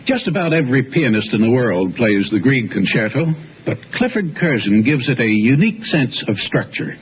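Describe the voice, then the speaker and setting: A man presents a classical music broadcast in a scripted, old-time radio style. His voice sits at a medium pitch. It comes through a thin, phone-like vintage recording with faint noise. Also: sing-song, normal speed.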